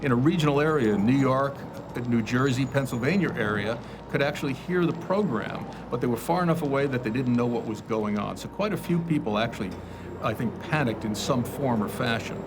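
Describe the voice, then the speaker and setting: A man's voice: mid-range voice, fairly quick. A man giving a casual recollection as a local interviewee. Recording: outdoor traffic noise behind.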